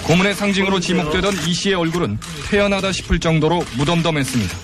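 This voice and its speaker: A male reporter reads a scripted news package in a steady, formal cadence. His voice sits notably high for a man. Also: quick pace, music in the background.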